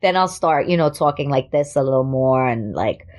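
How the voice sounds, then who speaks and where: Lively laughing woman guest. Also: rumbling low, normal speed. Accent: light New York. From Germany, raised in New York. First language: German. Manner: animated, laughs often. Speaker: podcast guest, comedian and actor. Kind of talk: casual storytelling chat.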